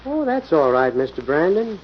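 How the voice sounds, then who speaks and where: Guarded company manager: slightly high voice, hesitant courtesy, muffled vintage radio drama. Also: male voice, sing-song, normal speed. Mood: wary. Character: polite, guarded male steel mill general manager. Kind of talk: 1940s radio acting. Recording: muffled old radio recording, faint hiss.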